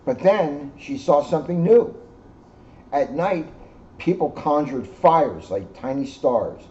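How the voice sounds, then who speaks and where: A man's voice: a little high, lively intonation, slow, darkish tone. A man, a police officer, reading a children's storybook aloud with casual asides. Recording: dull lo-fi sound, faint background noise.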